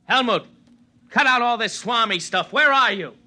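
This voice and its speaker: A man's voice: very high voice, lively intonation, normal speed, pushed and bright, heavily acted. An actor in a 1950s radio drama playing a defiant male scientist who speaks brusquely and combatively.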